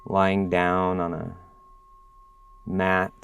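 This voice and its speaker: A man guiding a body scan meditation in a gentle, calm, unhurried manner with long pauses between phrases. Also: low voice, gravelly, smooth. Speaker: meditation guide.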